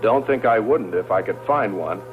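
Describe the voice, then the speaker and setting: A man's voice: on the low side, animated, normal speed, faint music behind. An actor playing a doubtful, wry spaceman in an old science fiction film, heard through a radio-like filter. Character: doubtful, wry male spaceman on a hostile planet. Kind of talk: 1960s dubbed science fiction film acting. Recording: tinny radio-like old film track, noisy.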